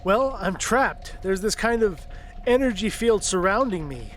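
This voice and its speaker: A man's voice: high voice, expressive, measured pace, fairly bright tone, a little hiss or hum. A man playing an anxious, sarcastic teenage boy in an audio drama.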